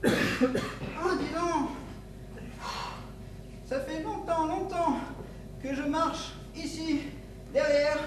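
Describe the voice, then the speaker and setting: A man's voice: very high-pitched, very slow, pauses often, heavily acted. A man voicing an odd-looking, lost shepherdess outcast in improvised stage comedy, plaintive and earnest. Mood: forlorn. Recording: distant mic in echoey noisy hall.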